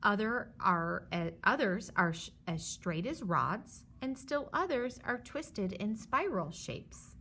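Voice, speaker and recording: A woman's voice: mid-range voice, big pitch swings, slow pace, few pauses, bright, forceful tone, slight background noise. A woman teacher reading a children's book aloud, stopping to explain things simply and reassure her young listeners.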